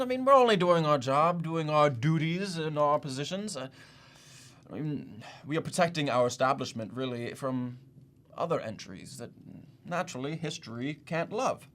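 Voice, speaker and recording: An actor playing an awkward, apologetic yet condescending museum official in a comic monologue, with a hesitant, rambling manner. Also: male voice, fairly high pitch, lively intonation, moderate pace, a bit bright, a little hiss or hum. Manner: hesitant, stammering, rambling, self-correcting.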